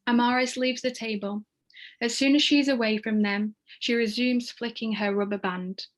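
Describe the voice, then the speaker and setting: A woman reads screenplay stage directions as narrator in a measured, descriptive manner. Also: on the high side, fairly even intonation.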